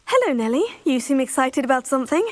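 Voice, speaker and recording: A woman's voice: high-pitched, very animated, fast, darkish tone, no echo. A woman playing a polite, inquisitive teenage witch in a video game, courteous and questioning.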